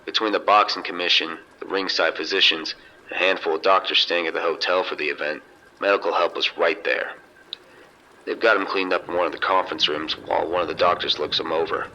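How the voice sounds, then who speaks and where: Casino security chief, male actor: man, deep voice, matter-of-fact radio-drama delivery, muffled walkie-talkie sound with some hiss. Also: fairly even intonation, pushed and bright, heavily acted. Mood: grave, composed. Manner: measured, detailed incident reporting. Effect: two-way radio. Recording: dull, noisy two-way radio sound.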